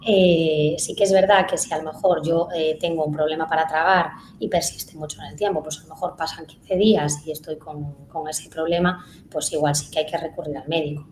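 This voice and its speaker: Speech therapist, woman, lowish voice, hesitant, roomy echo. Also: steady tone, brisk, few pauses, a little mellow. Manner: hesitant, many fillers. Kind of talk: live conference Q&A. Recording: echoey room, faint noise.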